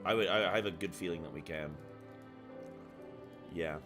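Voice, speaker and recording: Deep-voiced man rambling on a stream. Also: moderate pace, over music. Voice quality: deep, carrying voice. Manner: rambling, thinks aloud and reads chat aloud; loud by habit. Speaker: live streamer. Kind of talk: casual live-stream chat. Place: apartment room at home.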